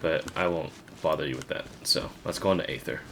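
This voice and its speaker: A man's voice: very deep voice, brisk, creaky, over music. A man giving casual gameplay commentary as a video creator, thinking aloud with hesitant phrasing. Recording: noticeable background noise.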